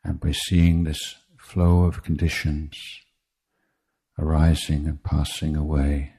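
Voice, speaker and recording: A man teaching meditation in a guided talk, speaking in measured short phrases with deliberate pauses and a husky voice. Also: extremely low pitch, little pitch movement, dark tone. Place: meditation retreat.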